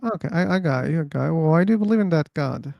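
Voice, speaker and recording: A man's voice: a little high, animated, quick pace, vocal fry, dry recording, highs cut off. A man hosting an online discussion about belief, asking probing, teasing questions. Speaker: host. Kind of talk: casual debate chat. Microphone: streaming setup.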